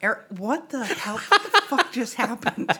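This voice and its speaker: A woman's voice: on the high side, big pitch swings, a little slow, crowd noise. A woman hosting a casual podcast interview, irreverent and teasing, swearing freely. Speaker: podcast host.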